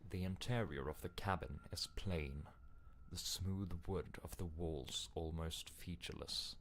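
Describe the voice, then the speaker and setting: Man playing a stern, deep-voiced game narrator. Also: slight background noise. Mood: grave instruction. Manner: measured, authoritative, exasperated when challenged. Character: stern, controlling male storybook narrator, impatient and insistent. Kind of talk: scripted second-person game narration.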